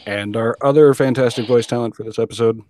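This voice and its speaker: A man guest hosting a casual panel interview, chatty and a little halting as he puts questions. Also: mid-range voice, steady tone, a little mellow. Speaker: guest podcast host.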